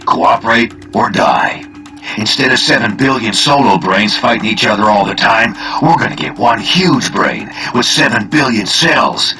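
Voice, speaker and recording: Cocky, menacing older spymaster, hard-edged. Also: male voice, medium pitch, a little slow, sharp tone. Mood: zealous. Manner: taunting, cocky, persuasive. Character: menacing older male spymaster absorbed into a hive mind. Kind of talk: video game cutscene acting. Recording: game music faintly behind.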